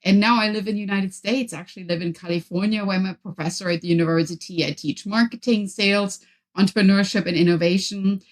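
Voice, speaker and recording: A woman's voice: mid-range voice, fairly even intonation, fast. German-accented woman, upbeat and instructive. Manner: upbeat, instructive, structured advice. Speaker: podcast guest, professor. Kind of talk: interview conversation.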